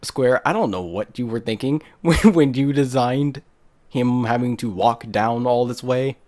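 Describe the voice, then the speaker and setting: Casting: male let's play host, medium pitch, unscripted casual commentary. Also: unhurried, darkish tone. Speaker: gaming video host.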